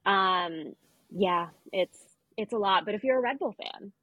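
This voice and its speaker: Husky woman doing casual sports podcast chat, dry close sound, wry little asides. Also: medium pitch, a little slow, pushed and bright, dry recording, muffled recording. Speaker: podcast co-host.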